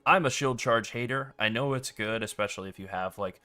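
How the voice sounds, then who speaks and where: A man's voice: mid-range voice, brisk, faint music behind. A man streaming a video game, commenting casually as he plays, with a rambling delivery full of "like.". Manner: relaxed, rambling, many "like" fillers.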